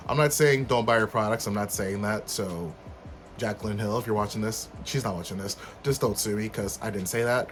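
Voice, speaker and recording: Slightly hoarse, upbeat male vlogger. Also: fairly quick, music in the background. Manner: chatty, animated, with sudden high upbeat outbursts. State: slightly hoarse from being under the weather. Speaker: YouTube host. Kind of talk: casual rant vlog.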